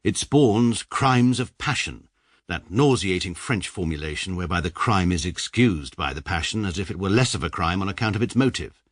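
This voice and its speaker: An actor playing a short, pompous, detached middle-aged barrister who narrates his own troubles in a measured, sarcastic manner for a radio comedy drama. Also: low-pitched, expressive, no room sound, studio quality. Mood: dry.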